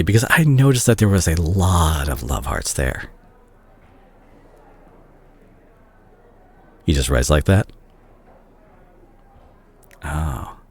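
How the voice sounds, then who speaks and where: A man playing a remorseful, tender doctor and ex-boyfriend in an intimate roleplay audio drama, speaking softly and hesitantly with a husky voice. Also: lowish voice, exaggerated voice. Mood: awkward, probing. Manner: hesitant, soft-spoken, halting.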